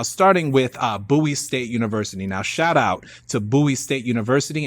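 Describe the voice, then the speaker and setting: Young American male theater artist, bright punchy voice, loose and expressive, casual direct-to-audience talk. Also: medium pitch, no room sound. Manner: slangy, expressive, talks to the audience. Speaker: theater artist and guest speaker. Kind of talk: casual, freewheeling monologue.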